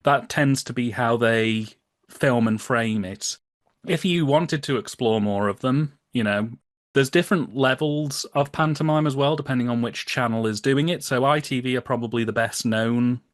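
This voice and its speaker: A man's voice: mid-range voice, normal speed, no room sound, clean studio sound. A British man chatting as a podcast guest, explaining things in a wry, humorous, chatty way. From United Kingdom. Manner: chatty, humorous, wry, often laughing as he talks. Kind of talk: casual podcast discussion, explaining.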